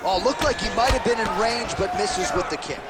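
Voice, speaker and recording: A man's voice: sits high, lively intonation, a little fast. An American man calling a fight in a video game as play-by-play commentator, with polished, emphatic broadcast delivery. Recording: loud arena crowd and impact noise.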